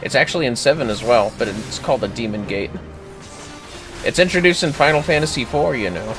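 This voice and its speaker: A man's voice: medium pitch, lively intonation, a little fast. A man livestreaming gameplay, casually explaining tactics step by step with informal, sweary commentary over music. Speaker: livestreamer, gaming host. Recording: music playing behind the voice.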